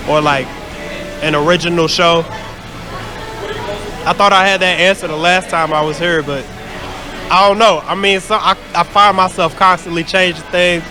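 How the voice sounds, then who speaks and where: American male producer in a casual profile interview, fairly high voice, clear music bed behind. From St. Louis, United States. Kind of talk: interview answers about himself.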